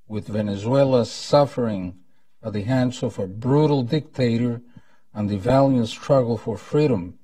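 A man's voice: medium pitch, mellow, dry recording, far from the mic. A man in his seventies with a Costa Rican Spanish accent, giving a formal, solemn welcome speech as event host. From Costa Rica. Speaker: event host, former ambassador. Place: indoor conference room at a lectern. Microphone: lapel mic.

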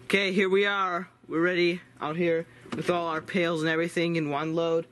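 A man's voice: fairly high pitch, level tone, normal speed. A teenage boy casually explaining step by step how to tap birch trees, recorded on a camera outdoors in snowy woods and indoors. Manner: plain step-by-step explaining with restarts. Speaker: homestead vlogger. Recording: loud outdoor background noise.

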